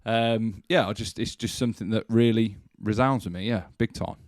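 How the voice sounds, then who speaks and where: A man's voice: on the low side, steady tone, moderate pace, no echo, clean studio sound. A young man hosting a podcast interview, asking loose questions full of fillers and repeated words. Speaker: podcast host. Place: small studio room with curtains. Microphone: desk mic on boom arm, headphones.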